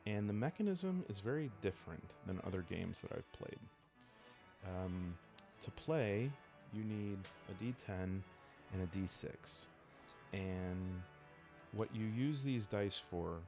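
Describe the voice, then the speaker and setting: Deep, gravelly man talking calmly. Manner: calm, unhurried, halting and reflective. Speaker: host of a solo role-playing game channel. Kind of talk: calm ASMR explainer of a tabletop game.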